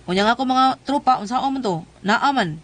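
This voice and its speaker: A woman's voice: fairly high pitch, animated, fairly quick, fairly bright tone, slight background noise. A woman playing a tough, brash tomboyish wife with blunt, slangy, swaggering talk in a comedic radio drama. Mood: brash, insistent.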